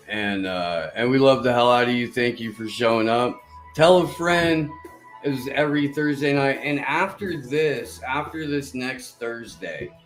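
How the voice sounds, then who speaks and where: A man's voice: mid-range voice, reverberant, low-quality recording. A man hosting a podcast in casual chat, profane and rambling. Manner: profane, laid-back, rambling. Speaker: podcast host.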